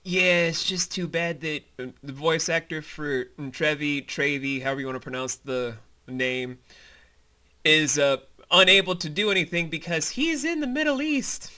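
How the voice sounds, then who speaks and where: A man with a very bright, forceful, fairly high voice talks through his own fantasy audio series. He is animated and colloquial, explaining things as a director in a casual commentary. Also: moderate pace. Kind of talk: casual behind-the-scenes commentary.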